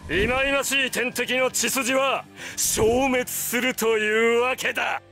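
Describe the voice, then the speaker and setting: A man voicing an arrogant, godlike vampire villain in a Japanese video game, with grandiose, drawn-out taunts and bursts of shouting. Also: very high voice, steady tone, creaky, soft background music, heavily acted. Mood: menacing.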